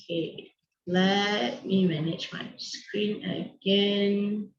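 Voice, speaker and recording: A woman's voice: mid-range voice, fairly even intonation, drawn-out words. A woman from Malaysia hosting an online teacher meeting on a video call, giving friendly instructions with frequent ums and restarts. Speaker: online session host and co-founder. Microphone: video call microphone. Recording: dull lo-fi echoey call audio.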